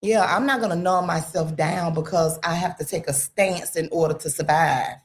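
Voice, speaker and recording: A woman's voice: on the low side, moderate pace, slight background noise. Woman chatting on a radio talk show, goes on and on with lots of "you know", big swoops up when she wants to stress a point. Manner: rambling and emphatic, peppered with "you know".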